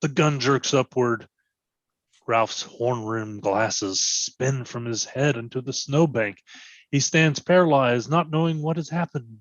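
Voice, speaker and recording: Male narrator, medium pitch, dramatic scripted delivery of screen directions, close dry recording. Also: no echo. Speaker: narrator reading stage directions. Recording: dull, lo-fi sound.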